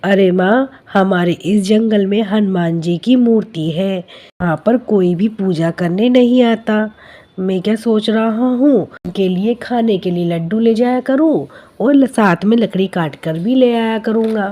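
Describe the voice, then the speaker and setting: An earnest, simple young woodcutter of a cartoon fable, voiced by a woman. The voice sits at a medium pitch and moves slowly, with a naive, devout manner. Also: warm tone, lightly acted. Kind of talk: Hindi cartoon story dubbing.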